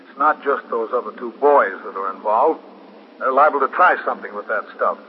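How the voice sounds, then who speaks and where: An American actor playing a firm, sober middle-aged police captain in a 1950s radio drama. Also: male voice, medium pitch, brisk, natural voice. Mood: pressing, firm. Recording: reverberant, dull, hissy 1950s radio recording.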